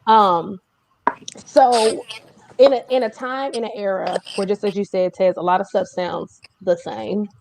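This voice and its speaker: A woman hosting a casual interview chat, telling things in an animated, emphatic way. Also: medium pitch, a little slow, vocal fry, noisy background. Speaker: host.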